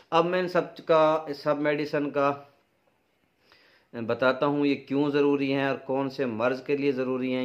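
A middle-aged man with a Pakistani accent, explaining things in a practical, instructive way as a farming advice presenter. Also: mid-range voice. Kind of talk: informal instructional explanation to camera. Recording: dull, lo-fi sound.

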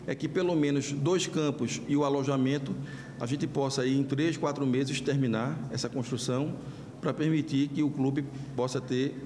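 A man's voice: medium pitch, steady tone, normal speed, a little mellow. A middle-aged man with a Brazilian accent making a press conference statement as club president. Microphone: table press microphones. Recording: reverberant room, faint noise.

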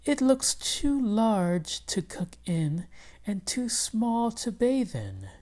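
A woman voicing an excitable, bossy old farmer's wife from a storybook, with exclamatory, drawn-out phrases. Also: mid-range voice, voice pushed up. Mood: wondering, tender. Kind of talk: storybook character voice.

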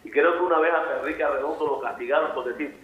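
A man's voice: on the high side, rapid-fire. A man calling in to a talk show, reminiscing with amused laughs. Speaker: caller. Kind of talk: casual phone-in chat. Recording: dull echoey phone line.

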